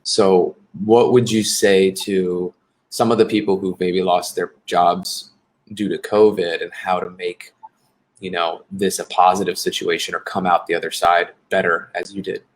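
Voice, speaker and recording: A man's voice: deep voice, little pitch movement, a little slow, low-quality recording. A man hosting an interview, listening closely and asking long follow-up questions. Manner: attentive, frequent short backchannels. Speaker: interview host, job coach.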